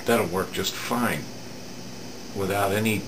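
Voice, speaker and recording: A middle-aged man giving an unscripted hands-on repair demonstration in a halting, matter-of-fact way, recorded at an indoor workbench. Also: lowish voice. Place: indoor home electronics workbench. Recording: steady room hum, air-conditioning noise.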